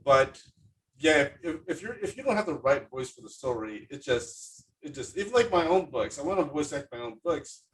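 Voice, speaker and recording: A man's voice: on the high side, sing-song, moderate pace, fairly bright tone, recorded from a distance, poor audio. A man hosting a podcast in casual chat, effusive and hesitant, with many fillers. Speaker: podcast host.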